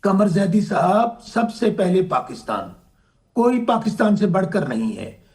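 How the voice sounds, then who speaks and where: A hoarse man from Pakistan, a political guest arguing insistently in a heated talk-show debate and pressing to be heard. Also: high-pitched, very animated, a little slow, darkish tone. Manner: insistent, keeps asking to be heard.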